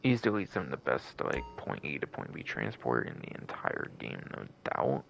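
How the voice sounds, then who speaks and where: A man's voice: a bass voice, huge pitch swings, normal speed, creaky, fairly bright tone, slight background noise. A man giving casual live game stream commentary in a rambling, repetitive way full of filler words, with some casual swearing.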